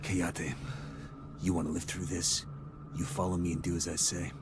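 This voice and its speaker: An actor playing a wary special forces leader in a game, an American with a guarded, commanding manner. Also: male voice, low-pitched, flat delivery, normal speed, close to an everyday voice. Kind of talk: game cutscene dialogue. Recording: noisy ambience behind the voice.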